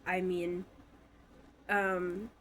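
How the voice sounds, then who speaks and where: A woman's voice: lowish voice, little pitch movement, drawn-out words, fairly bright tone, slight background noise. A woman in her twenties from Alabama, stuffy from a cold, telling her own story in a casual, hesitant vlog with many fillers. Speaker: vlogger.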